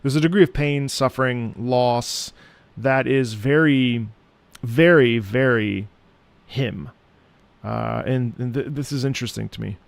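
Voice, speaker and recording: A man who is an opera singer giving reaction commentary on game music, halting and thoughtful as he searches for words. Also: mid-range voice, gravelly, slight background noise. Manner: breaks into sung demonstrations of musical phrases.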